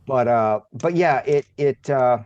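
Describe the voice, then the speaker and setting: A man's voice: medium pitch, unhurried, a little mellow, smooth, lo-fi sound. A man in his thirties chatting on a video call from his living room, often repeating words and restarting his sentences. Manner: repeats words and restarts, stresses points by saying them twice. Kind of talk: casual video-call chat. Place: living room with couch and gaming chair.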